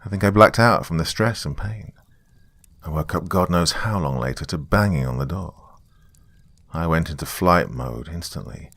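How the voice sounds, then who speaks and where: Male narrator for scripted horror fiction: low register, very dry recording, dramatic first-person read. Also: creaky, a bit bright, no room sound.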